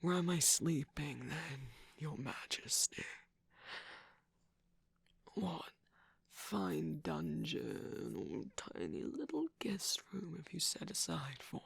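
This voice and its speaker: Performer acting out smug weary trickster villain, very husky and whispery, slow teasing drawl, mock-grand, close dry sound, ASMR game-character roleplay. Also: mid-range voice, no room sound, full character voice. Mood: reluctant, tired. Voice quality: breathy, husky, creaky, often dropping into whisper.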